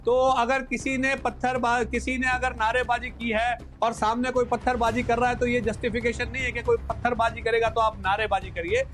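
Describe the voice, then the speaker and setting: A man's voice: extremely high pitch, flat delivery, fairly quick, few pauses, a bit bright, slight background noise. A man on a televised news debate panel, arguing in an insistent, scolding manner. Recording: TV broadcast with music behind.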